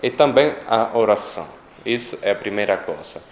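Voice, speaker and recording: A man with an Italian accent, speaking a second language in a documentary interview, pausing often to find his words. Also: lowish voice, expressive, roomy sound, background noise. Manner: halting, searching for words, many pauses. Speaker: interviewee on a sainthood cause.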